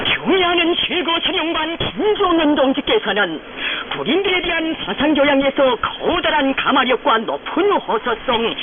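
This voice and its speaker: A woman with a North Korean accent reads solemn state radio news in a declamatory, fervent, reverent manner. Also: very high-pitched. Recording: noisy shortwave radio, narrow phone-like band.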